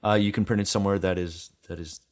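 A man's voice: low-pitched, fairly even intonation, fast. A man co-hosting an interview, talking in a casual, conversational way.

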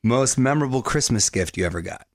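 A man hosting a radio show in a studio, upbeat and quick with promo patter, laughing often with his guest. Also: mid-range voice, expressive, dry recording. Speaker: radio host. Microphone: studio microphone.

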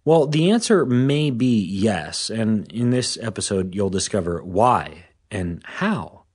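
A man hosting a fitness podcast, a little hoarse, explaining things in an informal scripted way. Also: on the low side, lively intonation, slow, darkish tone. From Washington State.

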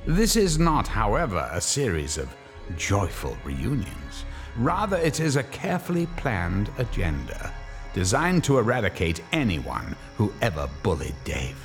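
An actor playing a grave, suspenseful narrator of a horror radio drama, reading scripted narration theatrically. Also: male voice, fairly low pitch, wildly swooping pitch, unhurried, exaggerated voice. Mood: ominous. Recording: loud music behind the voice.

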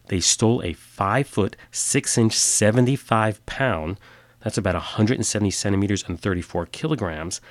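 A man with a very low voice tells strange true stories in a steady, matter-of-fact way. He sounds a little stuffy and nasal from a cold. The recording is close and dry, with no room sound. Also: no echo. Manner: plain matter-of-fact delivery with filler uhs in sign-off. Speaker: podcast host. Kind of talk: scripted storytelling narration.

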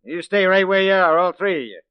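An American actor in 1940s radio style playing a shrewd police detective posing as a crook, commanding, deliberate and theatrical. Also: male voice, high voice, animated, clear, resonant tone, no echo. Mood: accusing, triumphant. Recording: dull vintage 1940s radio recording.

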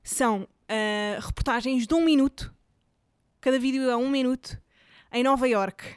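Chatty Portuguese woman with a smooth voice. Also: sits high, steady tone, normal speed, dry recording, clean studio sound. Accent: European Portuguese. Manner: rambling, chatty, full of fillers and English words. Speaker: podcast host. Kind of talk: casual chat recommending videos.

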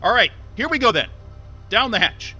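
A breezy guy leaving himself a video message in an audio drama. He rambles in a casual, flippant way, with a high, bright voice. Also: very animated, moderate pace, breathy edge, dull audio, faint music behind, full character voice. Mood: breezy, wry. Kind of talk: naturalistic audio drama acting.

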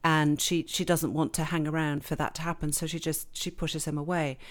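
Low-voiced English woman, chatty. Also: level tone, brisk. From born in England, grew up in Switzerland. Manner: chatty, self-deprecating, laughs often. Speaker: novelist, interview guest. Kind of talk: casual interview chat.

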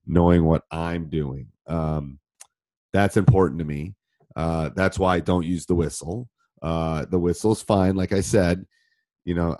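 A middle-aged man coaching from experience, his very low voice thickened by a cold. He rambles through listener questions with plenty of fillers and restarts. The sound is dry and close, with no room around it. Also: mellow, no room sound. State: voice deeper than normal from a cold. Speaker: basketball coach and podcast host. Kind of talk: live Q and A, casual answers to listener questions.

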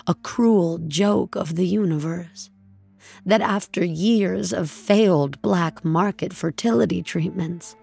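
An actress playing a numb, grieving woman narrating the end of her world in a somber, measured, husky voice for an audio drama. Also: fairly low pitch, darkish tone, soft background music, heavily acted. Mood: despairing. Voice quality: husky, gravelly. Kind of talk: dramatic first-person audio fiction narration.

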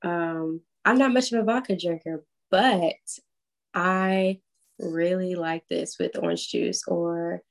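A woman singer answering interview questions in a relaxed, chatty way, laughing easily and using lots of fillers. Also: fairly low pitch, takes it slowly, gravelly. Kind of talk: casual interview chat. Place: Atlanta.

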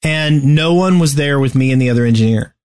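Halting man chatting casually about games. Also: mid-range voice, a little mellow. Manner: halting, stammering. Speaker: podcast co-host. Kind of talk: casual gaming chat.

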